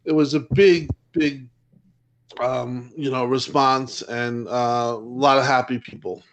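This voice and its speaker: A man's voice: mid-range voice, fairly even intonation, slow pace, rough recording. A man talking on a gaming podcast panel, rambling with lots of "you know" fillers and restarts. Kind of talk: casual chat.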